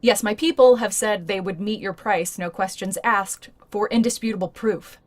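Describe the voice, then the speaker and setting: A mid-pitched female reporter voiced by an actress in a sci-fi radio play. She is skeptical and flustered, pressing with questions while hesitating over her words. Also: moderate pace, lightly acted. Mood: hesitant, measured. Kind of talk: naturalistic audio drama acting.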